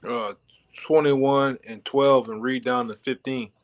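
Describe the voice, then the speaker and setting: Mid-pitched man in casual study group. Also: fairly even intonation, takes it slowly, a little hiss or hum. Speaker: Bible study participant.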